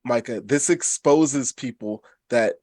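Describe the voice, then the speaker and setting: A man with a mid-pitched voice in a very dry recording makes his case on a casual panel. He speaks emphatically and repeats words to stress his point. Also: takes it slowly, a little mellow, no room sound. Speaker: podcast panelist. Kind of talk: casual opinionated discussion.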